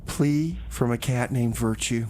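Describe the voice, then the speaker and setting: A man's voice: medium pitch, a little slow, mellow, slight background noise. A man hosting a community radio show, talking casually and folksily, often hesitating and repeating words. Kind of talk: casual rambling on-air talk. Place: small radio studio. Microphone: studio microphone.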